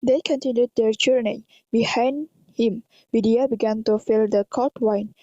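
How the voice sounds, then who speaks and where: Echoey, mellow Indonesian woman narrator. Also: fairly high pitch, steady tone, measured pace. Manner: careful non-native English reading. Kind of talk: scripted story narration. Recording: dull, echoey lo-fi recording.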